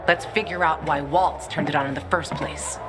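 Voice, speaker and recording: A woman's voice: low-pitched, fairly even intonation, normal speed, natural voice. An actress playing a tough, teasing young female fighter in a video game cutscene. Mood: brisk, wry. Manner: blunt, teasing. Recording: music and crowd behind.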